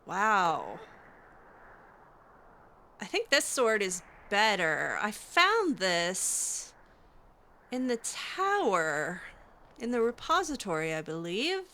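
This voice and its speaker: A woman's voice: medium pitch, wildly swooping pitch, slow pace, pauses often, bright, forceful tone. A woman playing through a video game in character, chatting casually in a hesitant, wry way. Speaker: gameplay roleplayer voicing her character.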